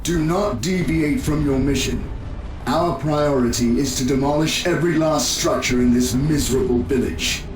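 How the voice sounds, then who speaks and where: A man playing a stern, contemptuous space marine sergeant in a video game, deliberate and commanding. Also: medium pitch, natural voice. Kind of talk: video game cutscene acting. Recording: echoing voice over game music.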